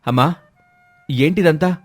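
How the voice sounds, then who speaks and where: Man as heartbroken young husband in a story drama, pitched up from his usual, pleading. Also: a little slow, dry recording, faint music behind. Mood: anguished. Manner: pleading, emotional, raised voice. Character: anguished betrayed son and remorseful young husband.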